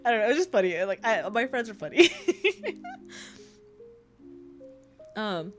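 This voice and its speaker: A woman's voice: fairly high pitch, sing-song, measured pace, fairly bright tone, soft background music. A young woman streaming as a VTuber, chatting casually with viewers; she sounds congested and a little tired, rambling and giggling often. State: tired, low energy. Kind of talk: casual livestream chat.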